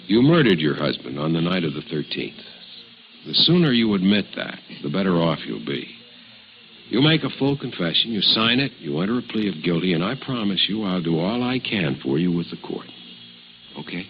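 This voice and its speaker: An actor playing a stern, pressing deputy district attorney in a radio drama, speaking formally and forcefully with deliberate phrasing. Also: male voice, sits low, sing-song. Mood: threatening, pressuring. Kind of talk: 1950s radio drama acting. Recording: muffled, noisy old radio recording.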